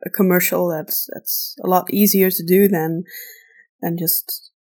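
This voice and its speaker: A woman's voice: fairly low pitch, fairly even intonation, measured pace, darkish tone. A woman podcast co-host, a native Flemish Dutch speaker with an accent between British and American, chatting casually and thinking aloud as she repeats words. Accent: mixed European, between British and American. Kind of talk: casual conversational podcast talk.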